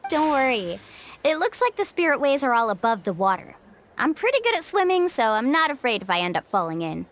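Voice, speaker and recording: A woman's voice: sits high, very animated, fairly quick. A woman playing a brave, easygoing young woman adventurer in a fantasy video game, confident, reassuring and upbeat. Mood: reassuring, trusting. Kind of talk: animated video game voice acting.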